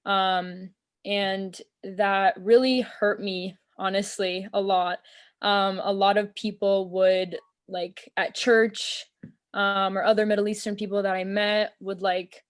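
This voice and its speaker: A woman's voice: mid-range voice, little pitch movement, slow pace. Young woman, american, earnest chat, lots of "um" and "like", video call from a small room at home. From United States. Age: young adult. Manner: earnest, thoughtful, many fillers like "um" and "like". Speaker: interview guest. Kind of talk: casual conversation.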